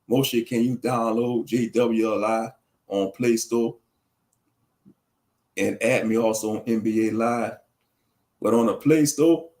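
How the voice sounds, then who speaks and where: A man talking casually on a livestream, rambling and preachy in a slangy way, answering the chat and laughing at his own jokes. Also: medium pitch. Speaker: livestream commentator.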